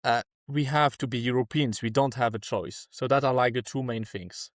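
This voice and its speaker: Man, from France, earnest, restarts sentences, mid pitch, dry close mic. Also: fairly even intonation, normal speed, no echo. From France (Alsace). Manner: earnest, searching for words, frequent restarts. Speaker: guest activist. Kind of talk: podcast interview answers.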